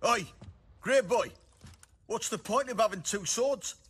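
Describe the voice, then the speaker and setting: A man playing a mocking, foul-mouthed soldier thug in a fantasy video game, with a raspy voice and a working-class British accent. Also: high-pitched, unhurried, a bit bright, exaggerated voice. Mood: taunting. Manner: taunting, mocking. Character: sneering male soldier thug, foul-mouthed bully with a knife.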